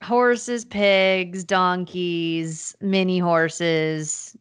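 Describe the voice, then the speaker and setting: A woman's voice: lowish voice, steady tone, very slow, clean tone, no echo, clean studio sound. A woman co-hosting a podcast, talking about her farm life in an easygoing, casual way. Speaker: podcast co-host. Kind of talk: casual chat.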